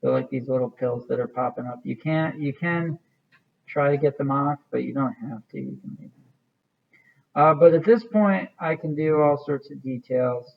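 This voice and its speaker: A man's voice: mid-range voice, normal speed, gravelly, phone-quality sound. A man teaching an art class, walking students through a clay project in a casual, rambling way with many fillers. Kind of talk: classroom demonstration.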